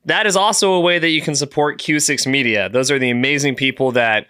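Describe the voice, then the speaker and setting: Man, bright tone, excitable and jokey, podcast co-host. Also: mid-range voice, brisk, smooth. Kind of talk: casual comedy chat. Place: podcast studio.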